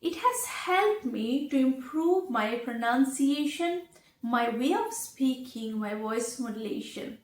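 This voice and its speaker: A high-voiced woman, not a native English speaker, presents a lesson for learners. She speaks slowly and deliberately, breaking her advice into short phrases. The room around her adds a clear echo. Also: lively intonation. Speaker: English teacher, video presenter. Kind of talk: instructional talk to learners. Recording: echoey room.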